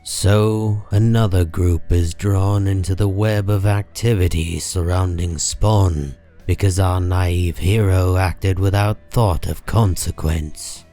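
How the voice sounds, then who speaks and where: A man narrating a comic book drama from a script in a grave, deliberate manner. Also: deep voice, fairly even intonation, dark tone, faint music behind.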